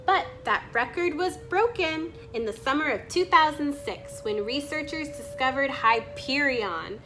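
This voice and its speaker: A woman reading a nature book aloud in a measured, deliberate narration style. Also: a little high, low-quality recording. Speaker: reader reading a children's nonfiction book aloud.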